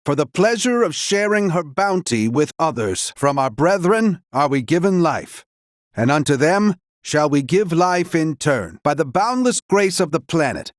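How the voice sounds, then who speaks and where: Solemn male village priest for a game: reverent, measured, fairly high register. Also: very animated, no room sound, studio quality. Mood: devout. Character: solemn, devout male priest of planet worship. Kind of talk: prayer in a video game.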